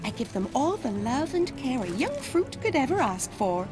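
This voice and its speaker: A woman playing a doting, excitable and genteel gardener in a video game, with a theatrical, quaint manner. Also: sits high, moderate pace. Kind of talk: video game character acting. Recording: music behind the voice.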